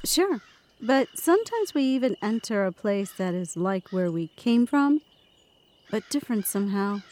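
A woman's voice: mid-range voice, big pitch swings, measured pace, a little mellow. An actress playing a friendly, easygoing woman writer and time traveller in an audio drama. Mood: friendly, encouraging.

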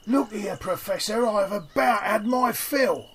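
A man acting a grumbling, slow-talking Cockney henchman. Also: high-pitched, breathy edge, heavily acted. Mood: fed up. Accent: Cockney English. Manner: plaintive, rambling, folksy bad grammar. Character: dim, grumbling male Cockney henchman from London. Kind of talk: audio play acting.

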